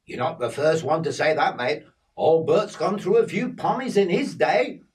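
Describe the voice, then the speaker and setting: A man's voice: on the high side, normal speed, recorded from a distance, voice pushed up, close to an everyday voice. A man voicing a friendly, chatty young country lad with an Australian accent. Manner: chatty, easygoing.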